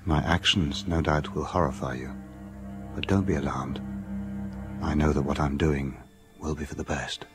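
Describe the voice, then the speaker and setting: An actor playing a haunted, doomed gentleman voicing a desperate letter with solemn dread in a radio drama. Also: rumbling low, fairly even intonation, normal speed, long pauses, music in the background.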